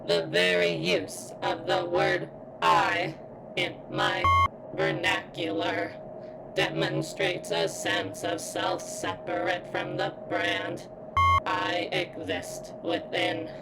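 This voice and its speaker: A woman voicing a guarded robot girl who yearns for sentience in an ASMR roleplay, speaking in stilted, formal, halting phrases with a strained, pained sound. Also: rumbling low, very animated, creaky, loud music behind the voice, full character voice, poor audio. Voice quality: strained, as if in constant pain.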